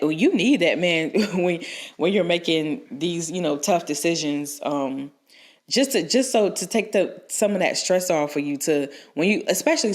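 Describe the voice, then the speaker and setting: A young woman co-hosting a podcast, stuffed up from a cold, rambling through advice with many restarts and fillers. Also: on the low side. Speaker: podcast co-host. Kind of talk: casual advice chat.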